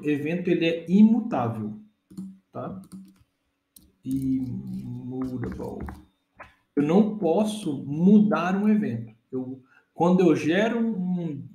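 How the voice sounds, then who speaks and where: A man's voice: fairly high pitch, warm tone, reverberant. A man in his thirties with a Brazilian Portuguese accent, teaching a casual data engineering lesson step by step and often checking that his listeners follow.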